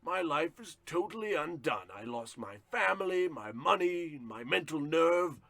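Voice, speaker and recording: A man playing a pompous, theatrical disgraced company president in a radio comedy play, with a husky voice. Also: a little high, very animated, measured pace, crowd noise, heavily acted. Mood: melodramatic despair. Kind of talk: old-time radio comedy melodrama.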